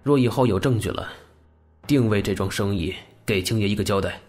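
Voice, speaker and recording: Man acting a low-voiced loyal young gangster. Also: brisk, a little mellow, soft background music, close to an everyday voice. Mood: serious, reporting. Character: loyal, composed young male gangster, deferential to his boss. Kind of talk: audio drama acting.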